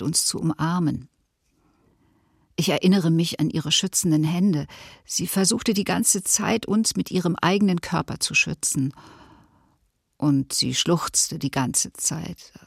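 A sorrowful woman survivor remembers in a halting, reflective way, as testimony in a radio drama. The voice is deep for a woman and very slow, in a dry, close studio sound. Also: creaky, slightly rough, dry recording, heavily acted. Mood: sorrowful, subdued. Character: sorrowful woman survivor recalling her mother and near death. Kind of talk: documentary radio play testimony.

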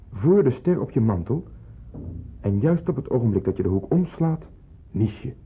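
Stern husky resistance instructor. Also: male voice, medium pitch, exaggerated voice. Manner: precise, instructing, deliberate. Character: stern, careful resistance man giving secret instructions. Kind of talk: Dutch radio play acting. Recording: narrow-band old radio play recording.

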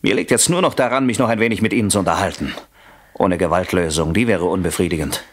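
An actor playing a betrayed husband out for revenge in a German radio thriller, with a polite, mocking and commanding manner. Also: male voice, low-pitched, fast. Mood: coolly menacing. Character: coolly menacing betrayed husband plotting revenge on rival.

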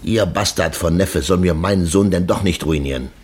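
An actor playing a gruff, scornful, sharp-tongued father in a German radio play, blustering and sardonic. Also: deep voice, normal speed, natural voice. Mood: indignant. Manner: blustering, sardonic, colourfully abusive. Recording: faint noise, old radio drama recording.

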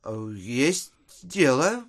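A man playing a courteous, puzzled gentleman visitor in an audio drama, speaking haltingly with drawn-out hesitations. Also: medium pitch, very animated, exaggerated voice. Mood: hesitant, polite.

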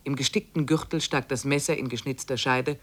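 A man plays a reflective traveller reading out his expedition diary in an old radio play. His delivery is measured and descriptive, in a mid-range voice. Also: medium pitch, fairly even intonation, fairly bright tone, close to an everyday voice. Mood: measured storytelling. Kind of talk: 1950s radio drama diary narration.

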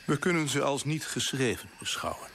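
A man playing a stern, disapproving senior colonial official in a radio play, with a measured, formal, pressing manner. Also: mid-range voice, lively intonation, gravelly, a bit bright, slight background noise. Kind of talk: Dutch radio play acting.

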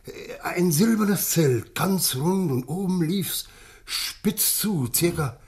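Aufgewühlter, stockend erzählender Mann vom Dorf. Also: male voice, high voice, lively intonation, a little mellow, heavily acted. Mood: shaken, awed. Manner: halting, stammering, insistent eyewitness telling. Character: shaken, tipsy village man recounting an uncanny sighting. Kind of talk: German radio play acting.

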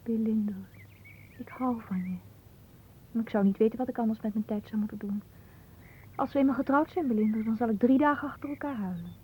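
Haughty young lady in a dutch radio play, highish husky voice, teasing her suitor, dreamy and capricious. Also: fairly quick, long pauses, dark tone. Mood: wistful, capricious. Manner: teasing, theatrical, dreamy storytelling.

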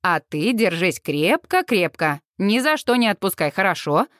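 A woman's voice: mid-range voice, sing-song, moderate pace, a bit bright, no room sound, studio quality, voice pushed down. A woman voicing a laid-back young male fairground handler in an audiobook, giving matter-of-fact instructions. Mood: casual, cautioning.

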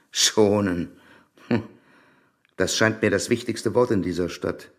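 An actor playing a middle-aged, smooth-talking and insistent shopkeeper in a German radio play, familiar and coaxing in manner. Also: male voice, on the low side, slow, fairly bright tone.

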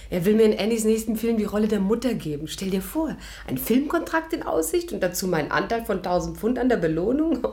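An actress playing a bold, high-spirited adventuress in a 1950s radio crime drama, spirited and theatrical. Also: female voice, expressive, fairly quick, hardly any pauses, a little mellow, close to an everyday voice. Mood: elated.

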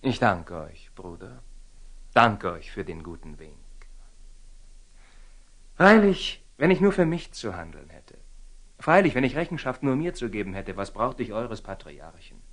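Young crusader knight, impetuous, proud, highly animated intonation, rough-edged voice, stage-classical radio play. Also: male voice, unhurried. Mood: restless, impatient. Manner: impassioned, blunt, questioning. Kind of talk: classical radio drama acting.

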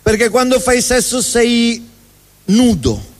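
High-voiced man, reverberant stage monologue. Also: very animated. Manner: emphatic, rhetorical, with deliberate repetition. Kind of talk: theatrical spoken monologue to an audience. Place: live stage in a reverberant venue. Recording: reverberant hall, live stage sound.

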